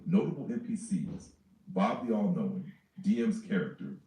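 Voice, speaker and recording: A deep, mellow male voice reads out a character and campaign sheet in a flat, list-like way, with lively swings in pitch. He sounds distant from the mic, with an echoey ring and a faint hiss behind him. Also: moderate pace.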